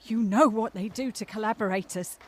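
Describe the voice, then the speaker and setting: A woman's voice: mid-range voice, a little fast, natural voice. A woman playing a hot-tempered, foul-mouthed, world-weary French cabaret singer in an audio drama, brash and dramatic. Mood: furious, accusing.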